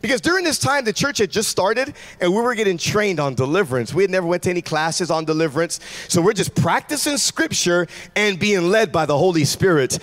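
A man in his forties preaching a sermon in a large church, speaking into a handheld mic with fervent, shouting delivery. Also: high voice. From United States. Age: 40s. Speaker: pastor. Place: large church stage with congregation.

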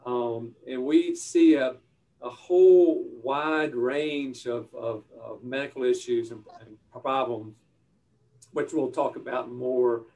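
A male physician explaining his specialty in a halting way, often repeating words. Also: mid-range voice, fairly even intonation, warm tone, far from the mic.

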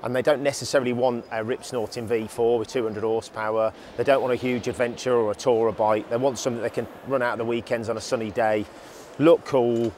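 A man in his thirties talks bikes in a relaxed, enthusiastic way, often restarting his phrases. His voice sits at an ordinary pitch for a man. A faint hum of the exhibition hall sits behind him. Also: fast, hardly any pauses. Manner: chatty, enthusiastic, frequent restarts. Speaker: motorcycle show presenter. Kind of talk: casual review chat. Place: indoor motorcycle exhibition hall.

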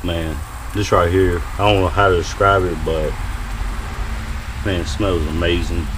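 A man with a deep, low voice talks in an unhurried drawl, telling viewers what he is doing as he cooks. He is outdoors at a grill, caught by a camera mounted above it. The outdoor ambience and noise come through clearly. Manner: laid-back, drawling, talks to viewers as y'all. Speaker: home cook vlogger. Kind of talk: casual cooking vlog. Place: outdoors at a grill beside a house. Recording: noisy outdoor camera audio.

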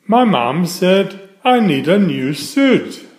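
A middle-aged man reading aloud to young children in a simple storytelling style, with clearly phrased delivery. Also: high voice, big pitch swings, slow, darkish tone. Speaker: children's book reader. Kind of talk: read-aloud bedtime storytelling. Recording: roomy, echoey voice recording.

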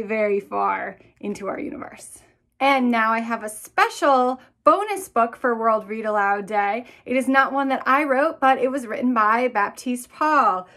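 A woman in her thirties with a fairly high voice presents and reads picture books for children. Her delivery is expressive and addresses the young listeners directly, recorded at arm's length in a small room. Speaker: children's author reading aloud. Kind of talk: picture book read-aloud for children.

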